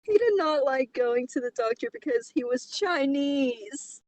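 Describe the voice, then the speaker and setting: A woman's voice: high-pitched, animated, unhurried, a little mellow, dry recording. A woman chatting casually and reading a story aloud for comedy, with a teasing, giggly manner. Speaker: podcast co-host reading a fan fiction aloud. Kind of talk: casual chat and comic read-aloud.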